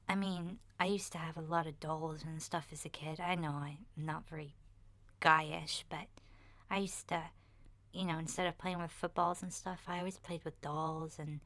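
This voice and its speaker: A woman voicing a chatty, nurturing child who survived a zombie apocalypse, soft-spoken and partly whispering, in a hesitant, confiding ASMR roleplay monologue. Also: fairly low pitch. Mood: wistful, chatty.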